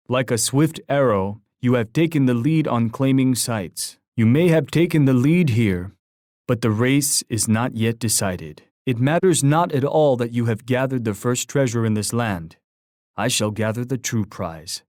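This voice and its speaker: A man's voice: medium pitch, a little mellow, no room sound, close to an everyday voice. Game villain-rival casting: male actor as a stern, proud male war leader, formal and declamatory, poetically threatening, close dry sound. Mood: scornful, boastful. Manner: solemn, formal, boastful, declamatory delivery. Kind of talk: video game character taunt lines.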